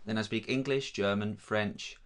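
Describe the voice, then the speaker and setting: Low-voiced young Danish man chatting. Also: fairly even intonation, moderate pace. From Denmark. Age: young adult. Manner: easygoing, many ums, chatty. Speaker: drama student guest. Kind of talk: casual chat.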